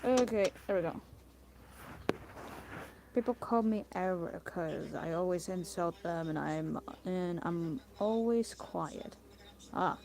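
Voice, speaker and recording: A young man with a raspy voice chatting casually while he draws on a stream, rambling with lots of "like" and "you know". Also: sits high, slow pace, long pauses. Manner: meandering, full of "like" and "you know". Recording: noisy room ambience.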